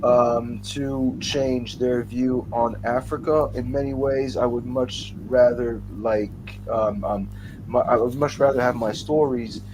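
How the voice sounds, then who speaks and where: A man speaking as a writer on a casual panel over a video call, haltingly, repeating words as he thinks aloud. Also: mid-range voice, steady tone. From United States (born in New York). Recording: clearly noisy video-call audio.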